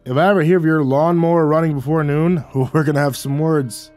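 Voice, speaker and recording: A man voicing a gruff, bitter old male war veteran neighbor who talks bluntly and crudely, in a storybook reading. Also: a little high, steady tone, a little fast, a little mellow, soft background music, voice pushed up, lightly acted. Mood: gruff, bossy.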